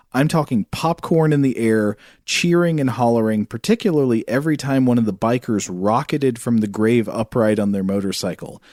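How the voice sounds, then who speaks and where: A man's voice: mid-range voice, a little mellow, no echo. A male podcast co-host talking in a conversational way, close to the microphone. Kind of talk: casual chat, scripted ad reads and listener mail.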